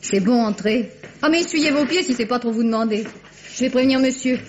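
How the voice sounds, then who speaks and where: A woman acting a prickly, curt young housemaid with a sharp, sarcastic manner in a 1950s-style French radio drama. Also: a little high, fairly quick, lightly acted. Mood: annoyed, suspicious. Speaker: actress in a radio mystery. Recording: dull, noisy vintage radio recording.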